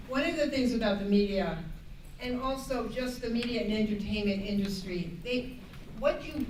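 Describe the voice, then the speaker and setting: An older American woman giving an earnest, emphatic, unscripted advocacy talk as a panel speaker, often halting and rephrasing as she goes. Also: mid-range voice. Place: echoey indoor room at a public panel event. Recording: echoey room, distant mic, background noise.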